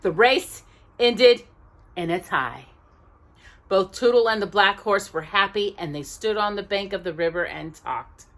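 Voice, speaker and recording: A woman reading a children's story aloud expressively, as if to young listeners, pausing to check in with them. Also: medium pitch, fairly bright tone. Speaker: storytime reader.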